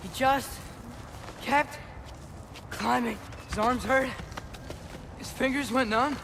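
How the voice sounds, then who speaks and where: A man's voice: very high-pitched, fairly even intonation, takes it slowly, gravelly, bright, forceful tone, slight background noise, exaggerated voice. A young man playing a determined, talkative teenage giant boy in a video game, casual and earnest. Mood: straining, determined. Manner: casual, earnest, talking to himself. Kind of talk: naturalistic video game acting.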